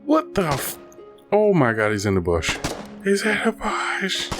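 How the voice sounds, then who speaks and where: A man giving casual live gameplay commentary, playful and full of excitable exclamations to his teammates. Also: a little high, unhurried, music in the background. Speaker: gaming video host.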